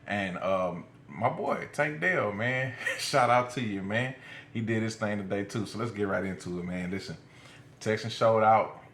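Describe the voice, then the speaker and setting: Deep-voiced, slightly raspy man, casual sports fan. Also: fairly bright tone. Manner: colloquial, often punctuates with "man", chuckles. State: slightly hoarse from shouting. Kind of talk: casual post-game football reaction commentary. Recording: roomy echo, light background noise.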